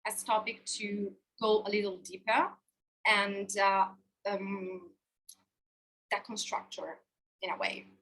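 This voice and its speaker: Woman life coach in her 30s, fairly high, bright tone, open and expressive, webcam in a hotel room. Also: breathy edge, poor audio. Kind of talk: live online workshop talk.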